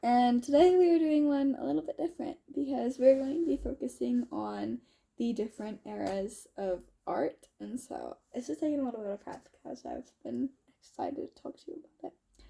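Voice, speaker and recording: A young woman talks through a casual student vlog in a fairly high voice with a noticeably creaky edge. She sounds sniffly from a cold and speaks informally, thinking aloud as she goes. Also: darkish tone. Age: young adult. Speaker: student vlogger. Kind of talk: casual chatty vlog walkthrough.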